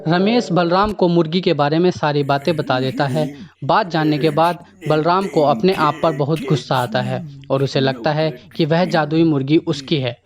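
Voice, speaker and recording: A man's voice: a little high, moderate pace, few pauses, over music. A man reading scripted storybook narration as the narrator of a tale.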